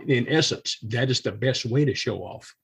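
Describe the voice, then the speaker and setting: A man's voice: medium pitch, normal speed, gravelly, a bit bright, breathy edge. A man, a drummer appearing as a podcast guest, talking casually about music with emphatic enthusiasm.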